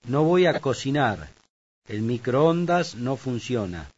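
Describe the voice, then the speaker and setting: Man, mid pitch, course-tape narrator, muffled lo-fi sound. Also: big pitch swings, darkish tone. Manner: slow, clear read-aloud delivery. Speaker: language course speaker. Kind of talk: scripted language lesson reading. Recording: dull lo-fi tape audio.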